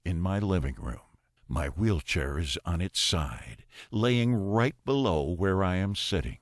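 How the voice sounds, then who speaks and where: A man reading a first-person story as an audiobook narrator, deliberate and dramatic, pausing between phrases. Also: low voice, expressive, dry recording, clean studio sound.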